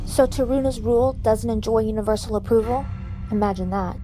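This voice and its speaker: Skeptical medical officer, played by a woman, fairly high pitch, dry formal delivery, faint music, sci-fi audio drama. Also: brisk, warm tone, close to an everyday voice, rough recording. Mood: sardonic. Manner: crisp, formal, dry and argumentative. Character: skeptical, sardonic female starship doctor.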